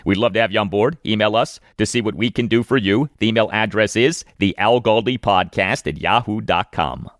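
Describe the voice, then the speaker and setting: A man hosting a sports podcast, reading scripted segments and ads in an emphatic, deliberate announcer delivery. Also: fairly low pitch, a bit bright, no echo, clean studio sound. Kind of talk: scripted narration and ad reads.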